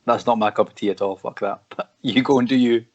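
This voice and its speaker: A man's voice: mid-range voice, fairly even intonation, fast talker, darkish tone. A man as the guest musician on a video call, laid-back and reassuring, calling the host man and dude. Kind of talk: casual video-call interview. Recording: muffled lo-fi call audio.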